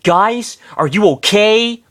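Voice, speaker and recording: A man's voice: high voice, expressive, slow, fairly bright tone, pitched above the performer's own voice, heavily acted. A man voicing a nervous young male cartoon hedgehog who asks timid, uneasy questions.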